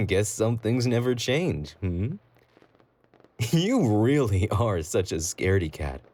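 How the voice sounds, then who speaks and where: A very slow, mellow male voice acted by a man as a possessive yandere boyfriend in a romantic audio roleplay. He coos intimately and breathily, swinging widely in pitch between doting sweetness and quiet menace. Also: wildly swooping pitch, breathy edge, full character voice. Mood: teasing, possessive.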